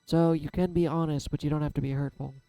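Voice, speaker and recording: Man, tarot reader, soft-spoken, smooth dark tone, candid vlog delivery, faint music underneath. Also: on the high side, fairly even intonation, fast, no room sound, rough recording. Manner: candid, self-deprecating, musing aloud. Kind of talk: casual reflective vlog.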